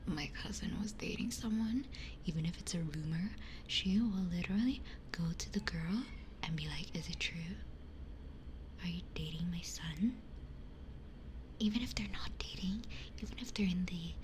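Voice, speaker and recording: A woman streamer chatting casually in a breathy ASMR whisper into a close binaural microphone, telling stories in a candid, gossipy way. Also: fairly low pitch, noisy background. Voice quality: breathy, mostly unvoiced whisper.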